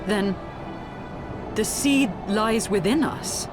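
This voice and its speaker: A woman's voice: mid-range voice, slow, music in the background, lightly acted. A woman playing an idealistic, determined young rebel leader in a fantasy game, speaking formal, archaic lines with earnest passion. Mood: dismayed. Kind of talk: dramatic fantasy game acting, archaic speech.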